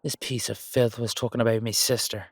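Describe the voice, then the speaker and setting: A man with an Irish accent playing a hot-headed, loyal knight in a roleplay audio drama, gruff and colloquial with a wry streak. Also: medium pitch, flat delivery, fairly quick, no room sound. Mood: angry, defiant.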